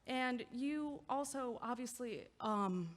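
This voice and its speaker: An actress playing a friendly, chatty neighbor who is a physical therapist, talking in a warm, easygoing way in an audio drama. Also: female voice, on the high side, slow pace, in a large hall.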